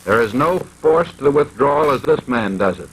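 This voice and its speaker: A man's voice: mid-range voice, lively intonation, normal speed, a bit bright, a little hiss or hum, rough recording. A man reading formal, precise scripted narration in the manner of a 1940s military instructional film.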